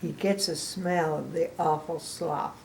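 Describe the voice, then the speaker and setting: A woman's voice: sits low, fairly even intonation, unhurried, gravelly, a little mellow, a little hiss or hum. An older woman doing a children's read-aloud as a grandmother, with exaggerated emphasis and asides addressed straight to the listeners. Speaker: grandmother storybook reader. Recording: echoey room.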